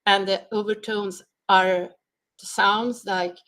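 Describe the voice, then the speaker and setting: A woman guest explaining sound healing in a slow, halting way, searching for her words. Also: medium pitch, fairly even intonation, fairly bright tone. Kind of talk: conversational interview answers.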